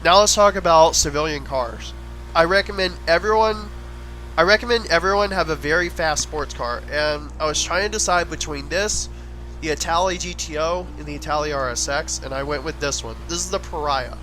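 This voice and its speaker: A man with a fairly high, bright, forceful voice that sounds a little stuffy from a head cold. He talks casually and eagerly, like a gaming creator recommending his favorites. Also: moderate pace, slight background noise, low-quality recording. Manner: chatty, enthusiastic recommending. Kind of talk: casual gameplay commentary voice-over.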